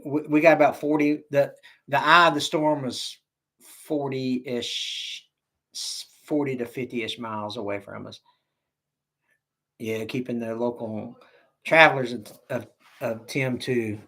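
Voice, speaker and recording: A man's voice: medium pitch, slow pace, long pauses, pushed and bright. Rambling Southern man hosting a livestream. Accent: Southern American. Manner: rambling, stop-start, grateful and jokey. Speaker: livestream host. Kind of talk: casual livestream chat reading viewer comments.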